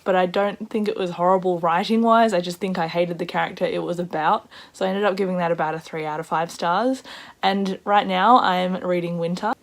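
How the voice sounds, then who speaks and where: A woman with a croaky voice, chatting casually in a book vlog with excitable asides. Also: on the low side. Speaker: book vlogger.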